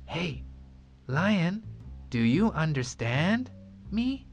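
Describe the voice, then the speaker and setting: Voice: medium pitch, very slow, soft background music, pitched above the performer's own voice, heavily acted. A storyteller voicing a curious, kind little boy who stammers and gasps in amazement in a children's story. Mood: gentle. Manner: excited, stammering, wide-eyed.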